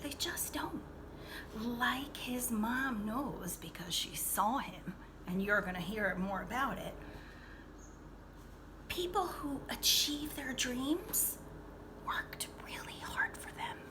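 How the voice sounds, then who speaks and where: A woman reading a picture book aloud to children like a teacher, expressively, pausing to explain and check in with her young listeners. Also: on the high side, lively intonation, noisy background. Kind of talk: read-aloud storytelling with asides to young listeners.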